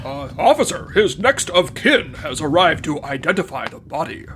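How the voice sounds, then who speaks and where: A man's voice: fairly high pitch, sing-song, normal speed, a bit bright, slight background noise. A man playing a nervous, bumbling ambulance attendant who stammers, in a comedic audio drama. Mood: flustered. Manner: stammering, flustered.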